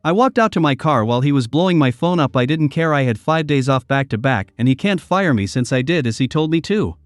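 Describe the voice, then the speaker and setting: A man narrates read-aloud stories in a steady, even manner. His voice sits a bit high for a man. The recording is close and dry, with soft music playing underneath. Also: brisk, no echo. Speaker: narrator reading online posts.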